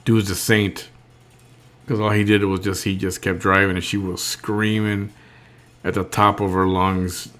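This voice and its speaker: A male host chatting casually on a live stream, often halting and repeating words as he thinks aloud. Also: low-pitched, level tone, a bit bright.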